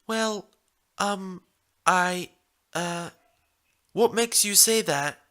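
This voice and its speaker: Man voicing an exasperated, high-pitched female pony nurse. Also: extremely slow, pushed and bright, full character voice. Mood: embarrassed, flustered.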